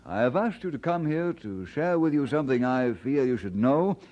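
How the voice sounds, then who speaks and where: An actor playing an earnest, romantic preacher in an old-time radio drama, with a courtly, sentimental way of speaking. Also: male voice, mid-range voice, expressive, normal speed, darkish tone, close to an everyday voice. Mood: proud, jovial. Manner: courtly, sentimental, formal phrasing. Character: earnest, romantic Scottish-born preacher in love, adult man. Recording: dull vintage radio drama recording.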